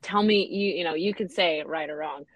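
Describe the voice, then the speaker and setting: A woman's voice: on the low side, normal speed, no room sound. A woman hosting an interview, asking casual, hesitant questions. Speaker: interview host.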